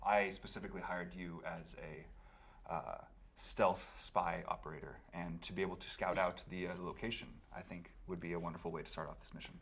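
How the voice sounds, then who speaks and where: A man improvising in character as a player in a tabletop roleplaying game, somewhat halting. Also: deep voice, fairly even intonation, gravelly, fairly bright tone.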